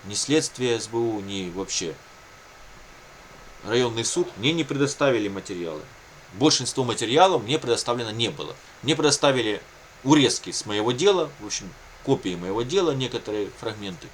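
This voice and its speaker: A man's voice: mid-range voice, lively intonation, brisk, slight background noise, low-quality recording. A man talking casually and unscripted to his viewers, circling back over his points in a repetitive, colloquial way. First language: Russian. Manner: rambling, repetitive, with frequent colloquial catchphrases. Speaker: video blogger telling his own situation.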